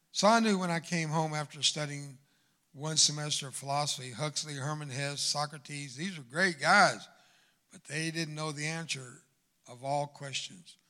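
An older man preaching a sermon in church, swinging from loud exhortation to hushed asides. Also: fairly high pitch. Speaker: guest preacher. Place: church sanctuary.

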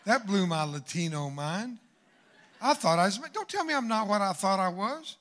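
An older man preaching a sermon in church, swinging from loud exhortation to hushed asides. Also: high voice, animated, normal speed. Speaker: guest preacher. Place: church sanctuary.

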